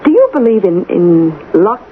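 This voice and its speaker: Concerned wife, very expressive pitch. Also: female voice, normal speed. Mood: coaxing. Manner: gently questioning, coaxing. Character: concerned, reasonable, affectionate wife of troubled mayor. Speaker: actress in a radio drama. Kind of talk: vintage radio drama acting. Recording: noisy lo-fi old radio recording.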